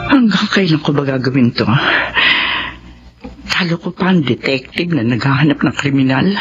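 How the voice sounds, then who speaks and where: A woman's voice: low-pitched, natural voice. A woman playing a middle-aged wife and mother who confronts her unfaithful husband in a radio drama. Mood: bitter. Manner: pleading, tearful confrontation. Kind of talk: Filipino radio drama acting. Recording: dull lo-fi radio audio with music.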